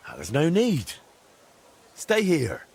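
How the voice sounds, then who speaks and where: A young blacksmith in a game drama. His voice is slightly rough and very varied in pitch. He comes across as earnest, plain-spoken and eager to help. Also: huge pitch swings, slow pace, a little mellow, full character voice. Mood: friendly, encouraging. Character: helpful, inquisitive young male blacksmith, earnest and friendly.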